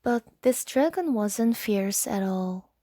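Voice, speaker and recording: A woman gently and expressively reading a storybook aloud in a soft bedtime style. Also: medium pitch, expressive, slow pace, dry recording, studio quality.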